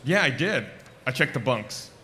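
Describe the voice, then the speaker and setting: A man playing an anxious, deferential soldier who worries earnestly, in a comedy table read of an animated series. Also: medium pitch, normal speed. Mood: puzzled.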